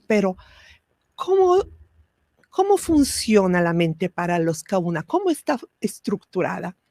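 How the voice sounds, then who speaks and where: A woman whose first language is Italian gives a spiritual teaching talk, slow and measured, with pauses and fillers. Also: medium pitch, dark tone, dry recording, clean studio sound.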